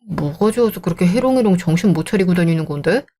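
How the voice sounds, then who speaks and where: A woman's voice: fairly low pitch, lively intonation, fast, mellow, voice pushed down. Brief: a woman playing a cheeky kid brother who mocks his sister, radio drama. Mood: teasing. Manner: teasing, sarcastic. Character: teasing younger brother, cheeky young man.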